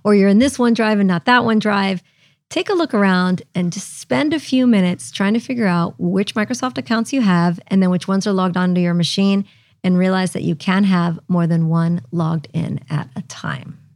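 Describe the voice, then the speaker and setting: A woman hosting a podcast, explaining technology in a chatty, lively way. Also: medium pitch, moderate pace, no room sound, clean studio sound. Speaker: podcast host. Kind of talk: explaining tech casually.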